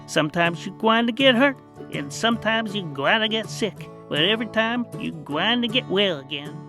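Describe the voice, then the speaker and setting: A man's voice: sits high, expressive, over music, pitched above the performer's own voice. Man putting on a folksy swamp sage. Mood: folksy, philosophical. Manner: folksy, unhurried drawl with dialect words.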